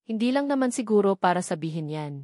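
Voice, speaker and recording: A woman with a Filipino accent voicing a shy, wounded but sincere young man in an audiobook, speaking haltingly. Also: mid-range voice, lively intonation, darkish tone, no room sound, studio quality, lightly acted. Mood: guarded. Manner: hesitant, halting. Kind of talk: audiobook character dialogue.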